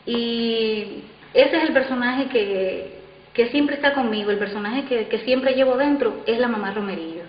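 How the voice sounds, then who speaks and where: Higher-voiced woman interviewed in echoey hall. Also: normal speed. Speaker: radio actress. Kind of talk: interview talk about a role. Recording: echoey hall, muffled, faint noise.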